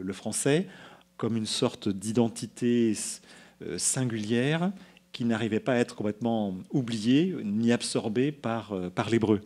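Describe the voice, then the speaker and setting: Man, interviewer at a lectern mic, low voice, measured and unhurried questions. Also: darkish tone. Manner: measured, asks long questions, quotes from a book. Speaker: host, interviewer. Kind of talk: moderated public interview. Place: lecture hall, seated at a lectern. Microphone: lectern microphone.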